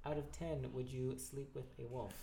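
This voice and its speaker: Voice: medium pitch, fairly even intonation, moderate pace, creaky, dark tone. A podcast co-host chatting casually and rambling through a film review in a giggly, informal way. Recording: echoey room with faint background noise.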